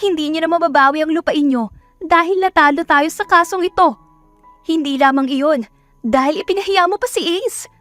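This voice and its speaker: A woman's voice: high-pitched, moderate pace, fairly bright tone, soft background music, voice pushed up. A woman voicing a jealous, haughty young woman who scolds and accuses in a dramatic audio story. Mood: furious, accusing.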